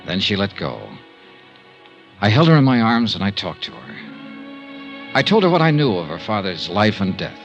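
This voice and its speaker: An actor with an American accent playing a smooth, tough but tender insurance investigator in a 1950s radio drama, with measured, clipped delivery. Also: male voice, on the low side, sing-song, faint music behind. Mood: matter-of-fact narrating. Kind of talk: 1950s radio detective drama acting and narration. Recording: dull 1950s radio recording.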